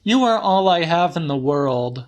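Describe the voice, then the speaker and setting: Man voicing an earnest young woman, pitched high. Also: expressive, unhurried. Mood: earnest, tender. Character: Celia, candid, affectionate young woman, devoted granddaughter. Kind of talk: dramatic audiobook character reading.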